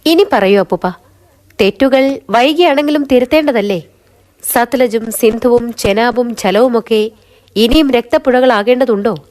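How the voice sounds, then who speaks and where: Brief: a woman voicing an affectionate young granddaughter, high voice. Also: a little slow, darkish tone, close to an everyday voice. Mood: pleading. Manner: pleading, affectionate. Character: young granddaughter, gentle and persuasive. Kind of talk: radio drama acting.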